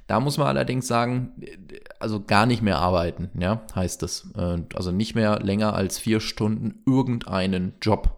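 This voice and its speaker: A man's voice: fairly low pitch, moderate pace, gravelly. A man co-hosting a podcast, explaining things in a chatty way with many fillers and jokey asides. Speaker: podcast co-host. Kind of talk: casual explanatory chat.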